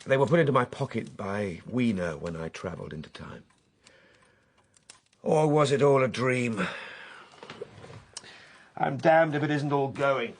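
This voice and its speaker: An actor playing a weary, intense Victorian gentleman time traveller, telling his tale dramatically in short, halting phrases for a radio drama. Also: medium pitch, animated. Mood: doubting. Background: music.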